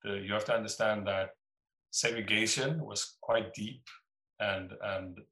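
A man in his fifties with a South African accent, explaining things in a measured way in an interview. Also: lowish voice, steady tone, bright, forceful tone. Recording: echoey room.

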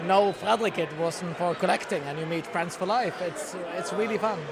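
High-voiced European man in crowded bar. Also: normal speed, crowd noise. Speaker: guest, toy collector. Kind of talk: casual chat. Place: busy hotel bar.

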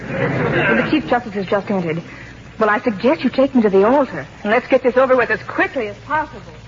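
A woman acting as a haughty young princess in vintage radio theater. She delivers dry lines through muffled sound with clear hiss and noise. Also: fairly high pitch, expressive, a little fast, close to an everyday voice. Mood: resigned. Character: dry, reluctant young Spanish princess bride. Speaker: radio actress. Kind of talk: 1940s radio comedy acting. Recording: muffled, noisy 1940s radio broadcast.

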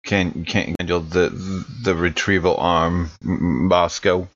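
A man in casual tabletop roleplay chat, halting and repeating the starts of words, on a lo-fi mic. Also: low voice, flat delivery, a bit bright. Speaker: tabletop game player.

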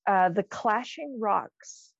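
A woman with a noticeable vocal fry chats as a podcast co-host. She reads out items and muses over them encouragingly. The recording is dry and a bit muffled. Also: medium pitch, expressive, slow, no echo. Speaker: podcast co-host, quiz reader. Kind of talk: casual banter, reading out quiz items.

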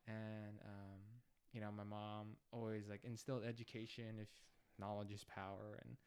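A young man from Los Angeles, a guest in a podcast interview, speaking in an upbeat, steady way with many fillers. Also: fairly low pitch, normal speed, clear, resonant tone. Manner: upbeat, steady, frequent fillers like "you know". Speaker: political candidate, guest.

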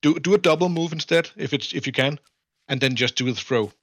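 A man from Denmark with a Danish accent, chatting casually as a podcast guest in a halting way, repeating words. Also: on the high side, a bit bright, no room sound. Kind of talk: casual chat.

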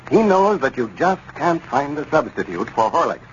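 A man's voice: fairly high pitch, sing-song, normal speed. A man with an American accent announcing and reading scripted advertising in 1930s radio style. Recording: muffled, hissy 1930s radio recording.

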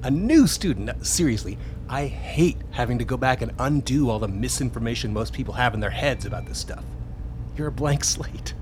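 A man's voice: medium pitch, lively intonation, moderate pace, noisy background, natural voice. A man playing an enthusiastic, sweary hacker researcher in a radio play, explaining things casually. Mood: excited, geeky. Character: excitable, laid-back hacker researcher, obsessed with mysterious transmissions.

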